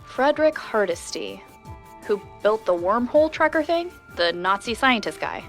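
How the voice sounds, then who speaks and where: An actress playing a curious, chatty podcast host investigating a mystery, moving between scripted narration and casual, wry conversation. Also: female voice, on the high side, lively intonation, moderate pace, fairly bright tone, soft background music. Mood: curious, intrigued. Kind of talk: scripted first-person narration and conversational dialogue.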